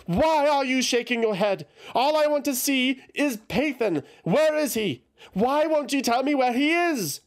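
A man's voice: sits high, big pitch swings, slow pace, voice pushed up, heavily acted. A man voicing a distraught young woman who pleads and refuses to accept a death, in an insistent, pleading manner. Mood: frantic. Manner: pleading, insistent, rising to shouts.

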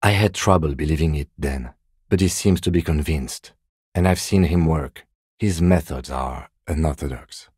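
Video game police lieutenant, very low pitch, husky, composed, formal, precise, dry and understated. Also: male voice, moderate pace, a little mellow, dry recording. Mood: calm, matter-of-fact. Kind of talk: scripted game dialogue.

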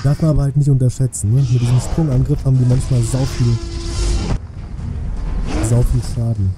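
A man's voice: mid-range voice, flat delivery, moderate pace. A man commentating a game on a live stream, talking colloquially and thinking aloud in a casual way. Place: small indoor home streaming room. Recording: music behind the voice.

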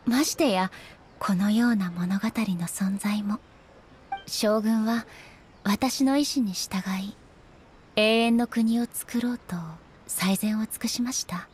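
Ei, a dignified, high-voiced ruler. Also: female voice, darkish tone, slight background noise. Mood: solemn, reflective. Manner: formal, measured, polite, serene. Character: composed, dignified female ruler of an island nation. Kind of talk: anime video game voice acting. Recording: game music behind the voice.

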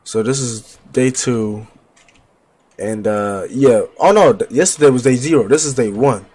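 A young man livestreaming a game on a headset, chatting loosely in slang and trash-talking enemies, his voice deeper while getting over a cold. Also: a little slow, darkish tone, husky. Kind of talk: casual gameplay commentary. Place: home gaming setup, indoors.

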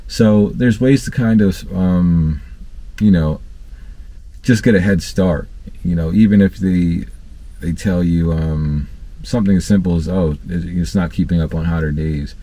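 Relaxed low-voiced man giving trade tips. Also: unhurried, no echo. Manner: relaxed, conversational, many fillers and restarts. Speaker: HVAC technician and channel host. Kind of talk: casual training talk to camera. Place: parked work van, seated in driver's cab.

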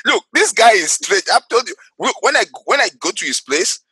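A man's voice: very animated, brisk, breathy edge, no room sound. A middle-aged man co-hosting a casual video-call interview, teasing and joking with his guest. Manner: teasing, laughs a lot, gets loud and high when joking. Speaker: co-host. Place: indoor room at home. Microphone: headset on a video call.

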